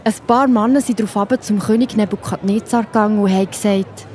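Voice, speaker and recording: A woman's voice: medium pitch, fairly even intonation, a little fast, a little mellow. A woman with a Swiss accent narrating a scripted Bible story for children.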